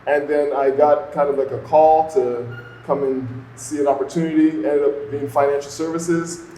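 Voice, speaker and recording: A man's voice: a little high, fairly even intonation, normal speed, warm tone. A man from Toronto with a Canadian accent, giving conversational, explanatory interview answers peppered with "you know". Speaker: guest, entrepreneur and former financial advisor. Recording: echoey room, background noise.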